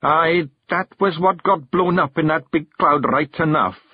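Pint-sized Scottish fairy fighter for a storybook: blunt, excitable, high and husky. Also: male voice, a little slow, dry recording. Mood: matter-of-fact. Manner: blunt, emphatic dialect talk. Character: tiny brash male fairy warrior, blunt and excitable. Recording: dull, lo-fi recording.

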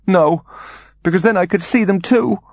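A man's voice: high voice, sing-song, normal speed, no room sound, pitched above the performer's own voice. A man voicing a frail, bedridden mother in an audiobook, imperious and fretful, with a plaintive, crooning, dramatic delivery. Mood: frightened.

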